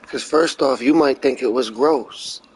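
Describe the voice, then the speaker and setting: A man's voice: medium pitch, unhurried, slight background noise, poor audio. A man chatting casually over a video game, swearing freely and rambling through crude jokes. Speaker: streamer reacting to a video game. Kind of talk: casual profane gameplay chat.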